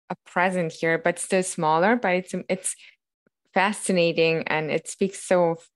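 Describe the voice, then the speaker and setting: A woman hosting a podcast, asking curious questions and encouraging her guest with short backchannels. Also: on the low side. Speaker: podcast host, interviewer. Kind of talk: interview questions.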